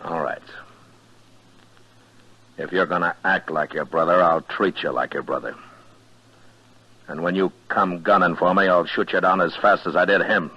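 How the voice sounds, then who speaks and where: An actor playing a stern, weary middle-aged American marshal with a husky voice and blunt, deliberate delivery in a 1950s radio western. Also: male voice, fairly even intonation. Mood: grimly threatening. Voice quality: husky, deep. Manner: blunt, deliberate, hard-edged. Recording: dull vintage radio recording.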